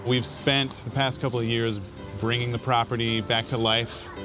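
A man's voice: medium pitch, little pitch movement, moderate pace, over music, rough recording. A man in his thirties, a school farm manager, explaining the farm in an easygoing interview outdoors.

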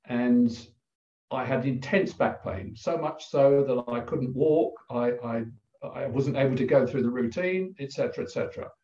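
An older man with an English accent, a guest telling a personal story over a video call in a halting, reflective way with many pauses. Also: medium pitch, mellow. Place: plain room indoors. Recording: roomy, distant, dull video call audio.

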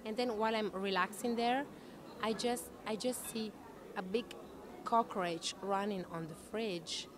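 A woman's voice: medium pitch, fairly even intonation, measured pace. Chatty woman against busy chatter. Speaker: actress interview guest. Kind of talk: chatty anecdotal interview answers. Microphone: handheld interview microphone shared with interviewer.

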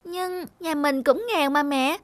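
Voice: high voice, unhurried, fairly bright tone, dry recording. A performer voicing an eager, curious, sensitive little boy in a cartoon. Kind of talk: cartoon voice acting.

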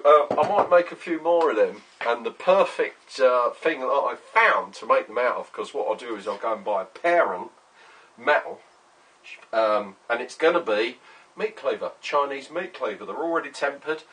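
A middle-aged man with a husky voice, chatting casually and rambling in a workshop vlog, often sweary. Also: mid-range voice, a bit bright. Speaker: vlogger, workshop owner. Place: small cluttered workshop, indoors.

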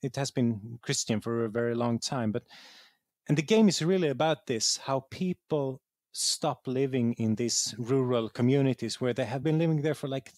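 A man's voice: mid-range voice, a little mellow, dry recording, studio quality. A man with a Swedish accent, a native Swedish speaker, talking conversationally as an interview guest and often searching for words. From Sweden. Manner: halting, searching for words, repeats words. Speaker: interview guest, game writer.

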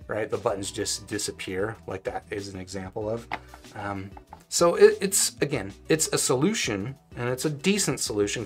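Low-voiced American man reviewing tech casually. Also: a bit bright. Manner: conversational, thinking aloud with hedging asides. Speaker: product reviewer. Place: small home room, indoors.

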